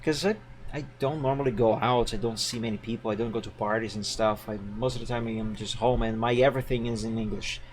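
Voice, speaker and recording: A man's voice: medium pitch, fairly even intonation, fast talker, a little hiss or hum. Chatty man streaming from home. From Brazil. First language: Portuguese. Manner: rambling and chatty, frequent fillers and self-corrections. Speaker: livestreamer drawing live art. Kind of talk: casual stream chat.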